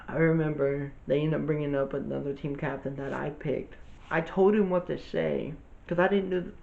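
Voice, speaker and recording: A man's voice: a little high, creaky, a little mellow, muffled recording. A young man telling a casual storytime monologue to his viewers, rambling and informal, full of restarts and fillers. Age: young adult. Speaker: vlogger.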